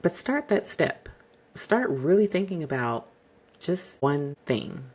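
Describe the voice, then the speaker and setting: A man hosting an advice podcast, speaking to the listener in a polished, exacting and fairly monotone way. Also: fairly high pitch, slightly rough. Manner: measured, exacting, addresses listener directly. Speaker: podcast host.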